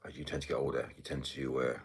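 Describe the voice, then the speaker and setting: A British man with a deep voice, chatting casually on a livestream in a halting, mumbly way full of fillers. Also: level tone, creaky, breathy edge. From United Kingdom. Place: indoors, getting ready to go out.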